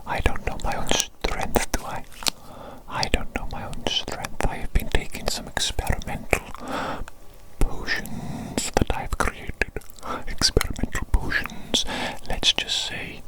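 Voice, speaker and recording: A performer playing an eccentric evil doctor in a whispered ASMR medical roleplay, fussy and theatrical, repeating phrases in a breathy whisper. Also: takes it slowly, close to an everyday voice, rough recording. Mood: musing, boastful. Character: eccentric evil doctor tending a big creature.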